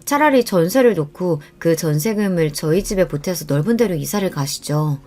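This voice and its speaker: A woman's voice: on the low side, lively intonation, normal speed, dark tone, faint music behind, lightly acted. A woman playing a polite young daughter-in-law, deferential and tactful, in a radio story drama. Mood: polite, pleading. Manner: deferential, careful.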